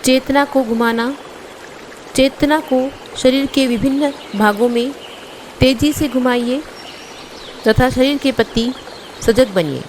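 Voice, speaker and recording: A woman guiding a yoga nidra meditation as an instructor, giving measured instructions in short phrases with pauses. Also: high voice, a little hiss or hum.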